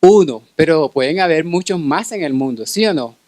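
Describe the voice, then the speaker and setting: A man's voice: a little high, animated, normal speed, a little mellow, no room sound, clean studio sound. A middle-aged man with a Colombian Caribbean accent giving a medical conference lecture, often checking in with short tag questions. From Cartagena, Colombia. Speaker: pediatric infectious disease specialist giving a lecture.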